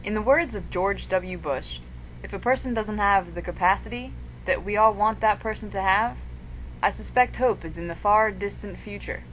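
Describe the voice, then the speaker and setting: A woman narrates a homemade street-interview film, reading her lines in a plain, mildly wry way. Her voice sits at a middling pitch. A faint hiss runs underneath. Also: normal speed, lo-fi sound. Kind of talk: scripted narration. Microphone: webcam microphone.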